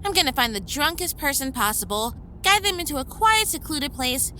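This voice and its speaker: High, bright, brash party-girl vampire played by an actress. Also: moderate pace, a little hiss or hum, full character voice. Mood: cocky, defiant. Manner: excitable, cheeky, defiant. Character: reckless, brash, foul-mouthed young female party-loving vampire. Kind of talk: audio drama dialogue.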